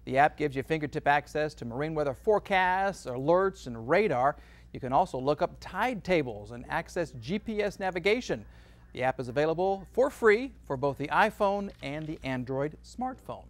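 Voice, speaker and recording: A male TV news anchor with a somewhat high voice for a man. He delivers scripted local news items in broadcast newsreading style. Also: lively intonation, moderate pace. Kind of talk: scripted newscast reading.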